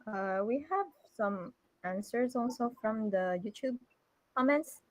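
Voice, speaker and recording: Slow soft-spoken woman co-host. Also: a little high, no echo. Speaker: radio co-host.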